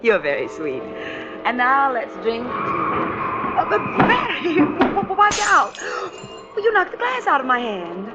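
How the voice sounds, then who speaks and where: A woman's voice: sits high, huge pitch swings, slow pace, over loud music, exaggerated voice. A woman acting a mysterious, flirtatious lady in danger, coy and hesitant, in a 1950s radio drama. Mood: nervous. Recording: dull, hissy old radio recording.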